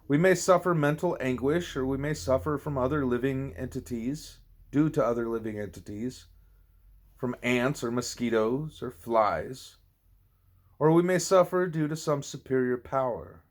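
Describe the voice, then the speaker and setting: A man's voice: medium pitch, moderate pace. A man reading a spiritual teaching aloud like an audiobook, occasionally stumbling and repeating words. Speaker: audiobook reader.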